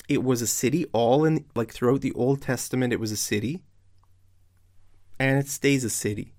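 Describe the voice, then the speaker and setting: Slow, hoarse man questioning his viewers. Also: mid-range voice. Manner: questioning, challenging the audience; slow and deliberate. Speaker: religious video commentator. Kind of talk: casual online Bible commentary.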